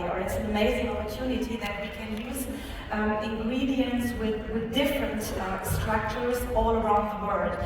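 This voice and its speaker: Hesitant woman in an echoey hall. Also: on the high side. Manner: hesitant, many fillers and restarts. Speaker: panel speaker at a product training. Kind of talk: live panel answer with fillers. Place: large conference hall. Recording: echoey hall, speaker far from mic, noisy.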